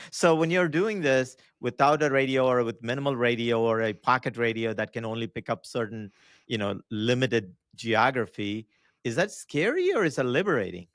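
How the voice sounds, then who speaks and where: A man's voice: medium pitch, fairly bright tone, dry recording, clean studio sound. A man hosting a conversational interview, asking questions and sharing his own view as a pilot. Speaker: host and pilot.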